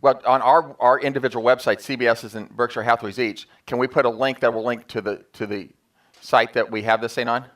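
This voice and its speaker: A man's voice: mid-range voice, fairly even intonation, fairly quick, a bit bright. A man informally leading a sales meeting, talking to a group in a colloquial, joking way. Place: meeting room in front of staff.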